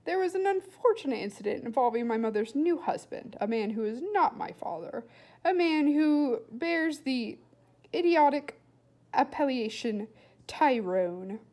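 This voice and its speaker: A woman's voice: high voice, animated, measured pace, darkish tone, voice pushed up. A woman reading a storybook as a precocious young boy, formal and self-important, with wordy, precise diction. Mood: wounded, haughty.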